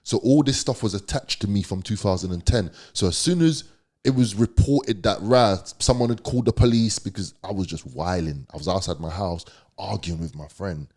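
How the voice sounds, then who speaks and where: Male podcast guest, London accent, deep low voice, slangy and emphatic storytelling. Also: normal speed, a little mellow. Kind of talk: candid storytelling.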